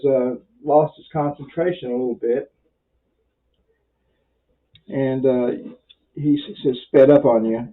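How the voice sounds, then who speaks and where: Casting: male hobby instructor, medium-pitched, folksy rambling delivery with halting pauses, heard through muffled lo-fi audio. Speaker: amateur radio instructor. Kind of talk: informal tutorial for beginners.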